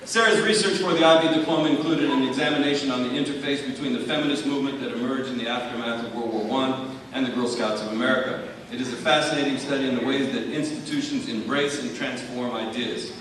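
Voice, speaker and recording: A male high school teacher delivering a prepared award speech in a measured, articulate, formal way with dry wit. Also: steady tone, fairly bright tone. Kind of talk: prepared speech, wryly humorous tribute. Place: large hall at an awards ceremony. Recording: very echoey hall, far from mic, faint noise.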